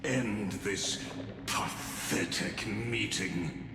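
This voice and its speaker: A grandiose, scheming mastermind declaims in a very low voice. He is pompous and theatrical, extremely slow and deliberate, like a proud video game villain. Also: background noise. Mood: grand, theatrical. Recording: cavernous echo under loud music.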